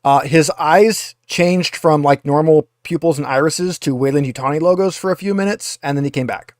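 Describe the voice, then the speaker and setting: A man's voice: a little high, moderate pace, dry recording, studio quality. A man speaking as a game master, explaining rules and narrating in a casual, conversational way.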